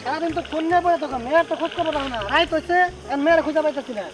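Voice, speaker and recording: Man acting a rapid-talking, blaming father. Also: very high voice, noisy background. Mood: accusing. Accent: Bangladeshi. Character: angry, blaming village father and husband. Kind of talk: Bengali web comedy drama acting. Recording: music under the voice.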